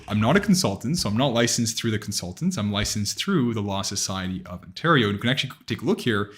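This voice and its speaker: A man's voice: on the low side, a bit bright. A man in his thirties, an immigration lawyer, answering viewer questions in a conversational live Q&A and explaining things step by step with frequent restarts. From Canada.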